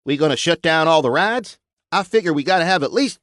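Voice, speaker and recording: A plain-spoken bearded mechanic with a lazy country drawl, as a man puts him on, pitched well above the reader's own low voice. He sounds dry and close to the mic. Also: lively intonation, a little fast, a bit bright, dry recording. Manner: drawling rural dialect. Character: folksy bearded male mechanic, blunt.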